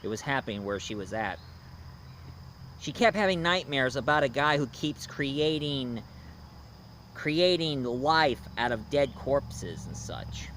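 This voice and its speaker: A man hosting a casual, unscripted review, talking in a halting, rambling storyteller's way. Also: medium pitch, very animated, fairly bright tone. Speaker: host, film and book reviewer. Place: outdoors. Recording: noisy outdoor recording with insects.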